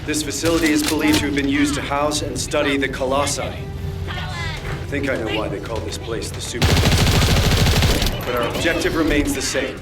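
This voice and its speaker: A man's voice: medium pitch, big pitch swings, normal speed, natural voice. A man voicing a firm, authoritative mission commander giving orders to his units. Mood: commanding. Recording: distant voice, loud music.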